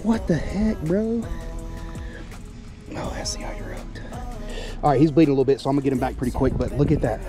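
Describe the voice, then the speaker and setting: A young man vlogging while fishing outdoors by the water, excitable and quick to laugh. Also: a little high, music in the background. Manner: excitable, shouting and laughing at catches.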